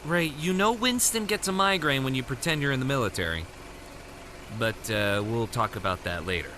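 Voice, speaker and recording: An actor playing a sardonic, wisecracking ghost hunter in an audio drama, with a glib, sarcastic delivery. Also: male voice, medium pitch, animated, moderate pace, bright, forceful tone, slight background noise. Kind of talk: full-cast audio drama acting.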